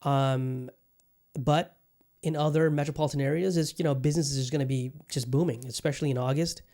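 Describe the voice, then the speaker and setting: A man's voice: mid-range voice, steady tone, brisk, dark tone. A man hosting his own podcast in a casual solo chat, upbeat and rambling, often repeating his phrases. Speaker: podcast host.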